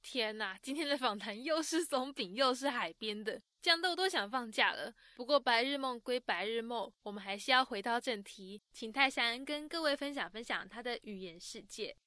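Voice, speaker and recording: A woman from Taiwan hosting and recapping the interview in a lively, exclaiming way. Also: a little high, moderate pace, few pauses, no room sound, studio quality. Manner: enthusiastic, exclaiming. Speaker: bilingual co-host summarizing.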